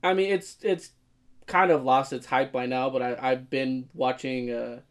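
A man's voice: mid-range voice, steady tone, measured pace. A young man co-hosting a casual podcast, blunt and teasing, who swears often and rants freely. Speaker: podcast co-host. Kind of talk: casual banter.

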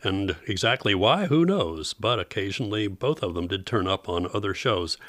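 A man's voice: lowish voice, expressive, normal speed, fairly bright tone, no echo. A man hosting a radio program with scripted narration, on a close studio mic.

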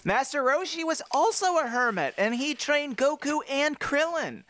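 A man co-hosting a casual podcast, talking in an animated, jokey way. His pitch leaps all over the place as he riffs, and the sound is dry and close with no room around it. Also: moderate pace, dry recording. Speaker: podcast co-host.

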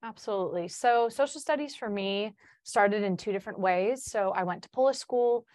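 A woman's voice: medium pitch, normal speed, darkish tone, no echo. A woman in her early twenties from Chicago, chatting informally and upbeat as an interview guest. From Chicago, United States. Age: early 20s. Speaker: podcast guest, student teacher in training. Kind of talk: casual interview chat.

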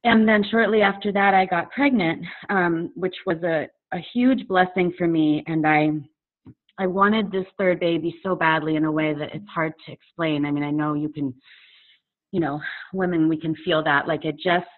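A woman in her forties, a poet and author, speaking candidly and reflectively on a video call interview, often pausing with fillers. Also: lowish voice, fairly even intonation. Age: 40s. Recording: tinny phone-like audio over a video call.

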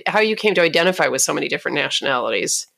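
A woman's voice: low voice, very animated, very fast, gravelly, fairly bright tone. A woman hosting a podcast interview, asking questions in an upbeat, curious way and laughing along with her guest. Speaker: podcast host.

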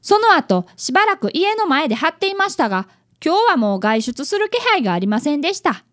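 A woman's voice: high-pitched, sing-song, fairly quick. A woman playing an earnest, polite boy of about eleven, an apprentice detective in a radio drama. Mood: narrating. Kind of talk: radio drama acting and narration.

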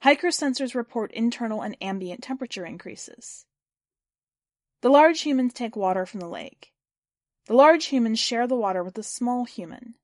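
A woman's voice: on the high side, expressive, normal speed, no room sound, studio quality. A woman reading scripted fiction and hosting a story podcast. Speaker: podcast host and story reader.

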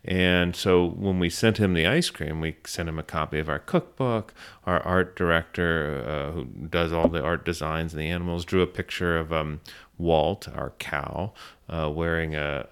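Very low, smooth-voiced man. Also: unhurried. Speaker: business owner being interviewed. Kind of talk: conversational storytelling.

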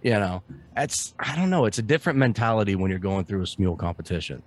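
A man with a fairly low voice co-hosts a relaxed podcast conversation. He talks loud and excitable, tossing in swear words as he rambles about gear and singing. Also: quick pace. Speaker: podcast co-host. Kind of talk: casual chat.

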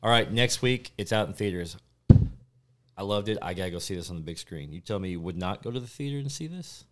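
A man in his thirties on a friends' podcast, making dry, deadpan jokes and pressing his argument insistently. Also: on the low side, fast. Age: 30s. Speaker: podcast co-host. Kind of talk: casual chat among friends.

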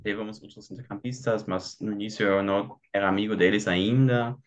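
A young German man with a German accent, a podcast guest talking casually in his second language and often pausing to search for words. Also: fairly low pitch, fairly even intonation, lo-fi sound. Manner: searches for words, many "tipo" fillers. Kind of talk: casual conversation.

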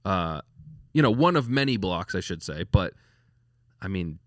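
A man's voice: low voice, big pitch swings, a little slow, no room sound, clean studio sound. A man hosting a casual sports podcast who rambles with lots of restarts and laughs easily. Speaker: podcast host.

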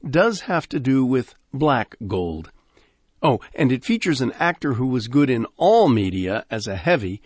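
A man hosting a radio program, giving measured, explanatory scripted introductions to vintage shows, close on a studio microphone. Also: medium pitch, no echo. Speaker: radio show host. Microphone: close studio microphone, dry.

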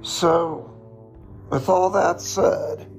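A man hosting a solo podcast, reflecting in a slow, halting way with a hoarse, raspy voice. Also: sits high, steady tone, faint music behind. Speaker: podcast host.

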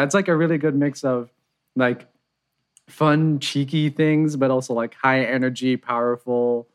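A man in easygoing conversation, voice in a middle pitch. He talks loosely, with frequent fillers and light laughter. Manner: relaxed, laughs often, many fillers. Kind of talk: casual reaction chat.

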